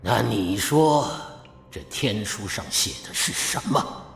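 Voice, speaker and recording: A man playing a sinister old Daoist master in an audio drama, drawling, mocking and cruel, with a gravelly voice. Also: on the low side, lively intonation, slow pace, a bit bright, full character voice. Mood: suspicious. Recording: echoey reverberant cave effect, music behind.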